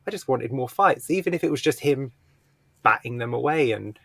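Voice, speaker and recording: A man's voice: medium pitch, expressive, moderate pace, creaky, a bit bright, dry recording, clean studio sound. A man casually discussing comic books as a podcast host, blunt and opinionated. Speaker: podcast book club host.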